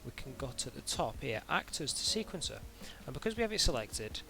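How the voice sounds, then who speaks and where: A man narrating an informal software tutorial in a hesitant, halting way, as if thinking aloud. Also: medium pitch, big pitch swings, creaky. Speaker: tutorial instructor.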